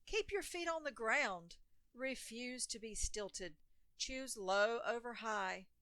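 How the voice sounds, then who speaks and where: A woman voicing a gentle, caring male saviour in a children's storybook, soft, tender and reassuring. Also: fairly high pitch, expressive, takes it slowly, dry recording. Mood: tender reassurance.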